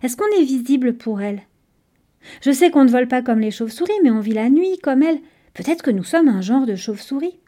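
A woman's voice: sits high, animated, brisk, mellow. A woman voicing a tiny, curious, eager young female creature in a storybook, chatty, inquisitive and earnest. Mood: eager, questioning. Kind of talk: audiobook storytelling.

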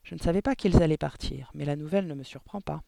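A man voicing a kind, calm woman schoolteacher from a storybook, gently explaining and attentive. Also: a little high, fast, gravelly, dry recording. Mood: calm, frank.

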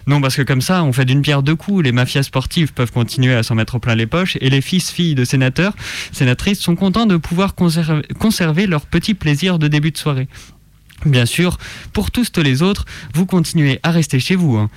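A man's voice: fairly high pitch, moderate pace, no room sound. A man on a radio show delivering a satirical scripted monologue in a colloquial, ironic, mock-naive way.

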